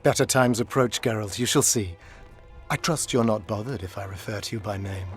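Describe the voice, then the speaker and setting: An actor playing a condescending, scholarly court sorcerer in a video game, pompous, silky and mock-courteous. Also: male voice, on the low side, fairly quick, faint music behind, natural voice. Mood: oily courtesy. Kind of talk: video game dialogue acting.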